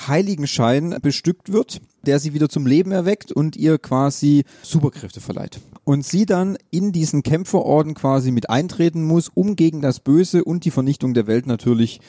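A man's voice: fairly high pitch, normal speed, hardly any pauses, dark tone, no room sound, clean studio sound. A man co-hosting a casual podcast, retelling a series plot in a rambling way with many restarts. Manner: rambling, self-correcting retelling. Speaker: podcast co-host reviewing series. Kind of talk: casual chat.

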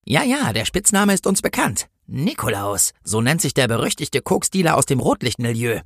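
A man's voice: medium pitch, sing-song, normal speed, gravelly, breathy edge, no echo, clean studio sound, pitched above the performer's own voice, heavily acted. A man voicing a bullying, officious female traffic warden in a comic Christmas story, with a scolding, sneering manner. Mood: suspicious, triumphant.

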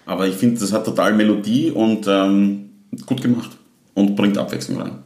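A man's voice: on the low side, fairly even intonation, normal speed, a little mellow, echoey room. A man from Styria with a Styrian Austrian accent, chatting in an easygoing way as a podcast guest. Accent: Styrian Austrian German. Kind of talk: casual chat.

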